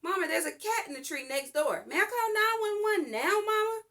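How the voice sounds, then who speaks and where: A woman acts out an eager little girl from a children's storybook. Her voice is high and swoops around as she pleads impatiently. She sounds excitable and insistent. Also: normal speed, full character voice. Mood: excited, pleading. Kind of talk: children's storybook read-aloud.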